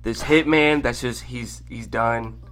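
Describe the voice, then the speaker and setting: A man's voice: medium pitch, fairly even intonation, slow, fairly bright tone. A man chatting casually as a podcast guest, slangy and repeating phrases for emphasis. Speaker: podcast guest, content creator. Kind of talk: casual conversational chat.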